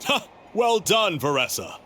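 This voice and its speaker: A man's voice: sits high, very animated, a little slow, pushed and bright, a little hiss or hum, heavily acted. A man voicing a genial, proud cook and uncle figure in a video game, hearty and fond of explaining. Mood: hearty, pleased. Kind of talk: video game character acting. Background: music.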